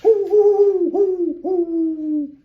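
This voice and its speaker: Storybook owl: eerie, very high-pitched drawn-out hoots, echoey room. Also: male voice, fairly even intonation, phone-quality sound, full character voice. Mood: calling out. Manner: long hooting calls.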